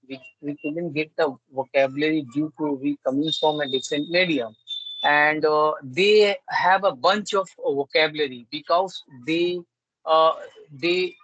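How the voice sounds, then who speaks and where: A man, a native Hindi or Bengali speaker, chatting in English to practice, full of restarts and pauses. His voice sits fairly high. The sound is close and dry, with no room around it. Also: sharp tone, no echo, rough recording. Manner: halting, many fillers and restarts. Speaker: conversation partner. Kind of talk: casual English practice conversation.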